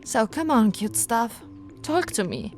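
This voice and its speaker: A woman voicing a flirty, no-nonsense female police officer in an ASMR roleplay, switching between firm orders and soft, teasing coaxing. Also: fairly high pitch, big pitch swings, takes it slowly, soft background music. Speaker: voice actress.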